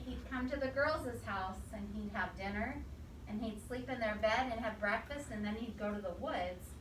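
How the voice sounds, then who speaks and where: A woman with a highish voice reads a picture story aloud to young children. She is expressive and stops to put simple questions to them. The sound is echoey and picked up from a distance, with clear noise behind her.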